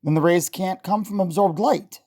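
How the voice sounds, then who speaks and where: A man's voice: high-pitched, moderate pace, dark tone. A man playing an earnest young male scientist in love, in an old-time radio drama style. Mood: interested.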